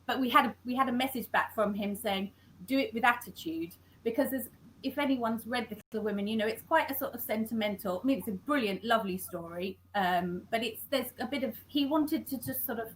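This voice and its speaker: Halting woman scriptwriter on a call. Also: a little high, a little hiss or hum, poor audio. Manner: halting, self-correcting, many restarts. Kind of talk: conversational interview. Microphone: video call.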